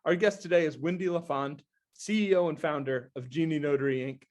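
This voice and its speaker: A man's voice: fairly high pitch, a little fast, darkish tone. A man hosting a podcast interview, often repeating words as he restarts and murmuring agreement while his guest talks. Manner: stammering restarts, frequent affirming murmurs. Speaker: podcast host.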